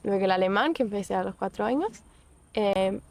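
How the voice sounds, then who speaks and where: A young woman chats in an unhurried, halting way, often stopping to restart a thought. Her voice sits at an ordinary middle pitch. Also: lively intonation, gravelly, a little hiss or hum. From Portugal. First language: Portuguese. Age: young adult. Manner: hesitant, many restarts and fillers. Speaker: guest. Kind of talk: casual interview.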